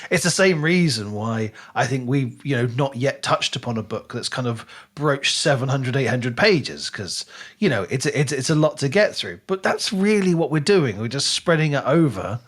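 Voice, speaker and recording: A man's voice: mid-range voice, lively intonation, normal speed. A man co-hosting a book podcast, talking casually and with firm opinions, often repeating words for emphasis.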